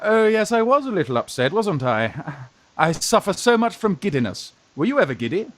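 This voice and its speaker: A man in a radio farce playing a young fellow who poses as a gruff, flustered old aunt, halting and blustering. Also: high voice, very animated, fairly bright tone, no room sound. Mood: evasive, chatty. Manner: blustering, halting, repeats words. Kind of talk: stage farce radio acting.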